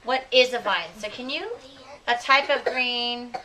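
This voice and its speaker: Bright, slow-speaking woman teaching a class. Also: fairly high pitch. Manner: slow, deliberate repetition with encouraging endearments. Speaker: elementary school teacher. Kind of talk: classroom instruction, questioning pupils and repeating their answers. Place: classroom with children. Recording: distant mic, clearly audible room noise.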